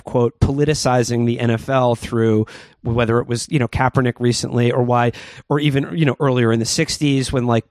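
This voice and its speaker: A man's voice: mid-range voice, darkish tone, dry recording. Hesitant man, dry close sound. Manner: hesitant, many fillers and likes. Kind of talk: conversational discussion.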